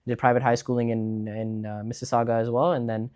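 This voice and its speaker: Fast-talking young man. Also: medium pitch. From Canada. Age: 20s. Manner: relaxed, filler-heavy, with frequent likes and restarts. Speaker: podcast guest, food blogger. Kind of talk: casual conversational interview.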